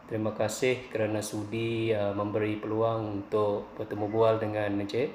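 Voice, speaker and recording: Flat-voiced man interviewing. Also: lowish voice, normal speed, slight background noise. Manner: polite, hesitant, many fillers. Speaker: interviewer, distance-learning student. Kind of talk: formal student interview. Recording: roomy, distant mic, faint ambience.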